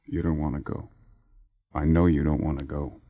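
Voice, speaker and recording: An actor in a radio drama playing a persistent, impatient theater man, smooth-talking and sardonic as he presses his case. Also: extremely low pitch, flat delivery, moderate pace, no room sound, narrow, tinny audio. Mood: scornful. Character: persistent, persuasive theater man seducing a married woman, impatient. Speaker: lead actor.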